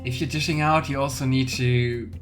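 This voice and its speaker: A woman's voice: a bass voice, steady tone, moderate pace. A young woman streaming a game from home, chatting into a desk mic. She is opinionated and rambles, with plenty of fillers. Game music plays under her voice. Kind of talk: let's play commentary.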